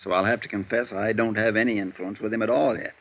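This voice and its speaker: Tired seedy friendly american lawyer, folksy rambling talk, old muffled radio play. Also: male voice, lowish voice, fairly even intonation, fast talker. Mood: easygoing, musing. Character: tired, seedy, affable male lawyer about forty. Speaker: actor in a radio detective drama. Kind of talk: 1950s radio acting. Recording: muffled vintage radio recording.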